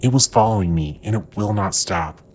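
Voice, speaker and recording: A man playing a tormented, deranged, haunted man in a halting, ominous audio drama monologue. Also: lowish voice, expressive, darkish tone. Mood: despairing, ominous.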